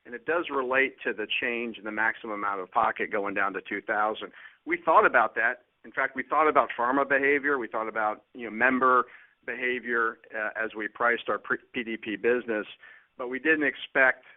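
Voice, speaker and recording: A man, a company finance executive, answering plainly in halting phrases on an earnings call. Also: medium pitch. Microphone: conference call line. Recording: narrow phone-line sound.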